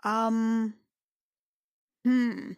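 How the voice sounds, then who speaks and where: A woman playing a tabletop roleplaying game, chatting and roleplaying casually with halting delivery. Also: on the high side, level tone, clear, resonant tone, no room sound.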